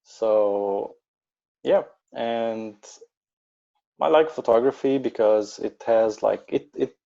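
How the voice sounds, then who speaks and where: A man's voice: mid-range voice, slow pace, vocal fry, mellow, dull audio. A man from Egypt with an Egyptian accent, speaking English as a guest photographer, talking in a hesitant way with many fillers. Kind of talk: casual interview chat.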